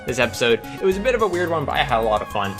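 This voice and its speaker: A man's voice: medium pitch, big pitch swings, very fast, over loud music. A man hosting a casual chat in a playful, teasing way with dry humour. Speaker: podcast host.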